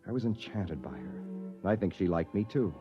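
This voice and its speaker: An earnest, exasperated Earthman in a 1950s science fiction radio play. His low voice swings expressively between storytelling and indignation. The old recording is dull. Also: animated, fairly quick, music in the background. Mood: reflective. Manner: earnest, dramatic, by turns wry and indignant. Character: exasperated Earthman hero narrating his own ordeal. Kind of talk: 1950s radio drama narration and acting.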